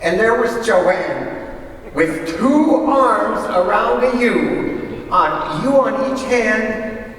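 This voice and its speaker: A man telling folksy stories in a conversational, rambling way, pausing as he goes, in a staged reading. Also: sits high, lively intonation, fairly bright tone, full character voice. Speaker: readers theater performer. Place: large hall, live stage reading. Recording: echoey hall from far off, faint noise.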